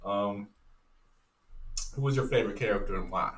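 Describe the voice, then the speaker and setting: A man's voice: on the low side, normal speed, fairly bright tone. A man hosting a casual interview, asking his questions in an informal, easygoing way. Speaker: podcast host. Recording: roomy, distant mic, faint background noise.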